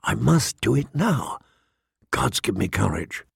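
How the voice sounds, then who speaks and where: Man voicing a soft, tremulous young noblewoman. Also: mid-range voice, lively intonation, normal speed, creaky, slightly rough, no room sound, clean studio sound, exaggerated voice. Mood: fearful resolve.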